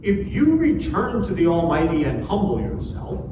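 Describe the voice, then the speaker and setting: A man's voice: on the high side, huge pitch swings, normal speed, lo-fi sound. A man in a dramatic scripture reading, playing a righteous friend who earnestly preaches repentance. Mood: exhorting. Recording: very echoey, distant mic, noisy room.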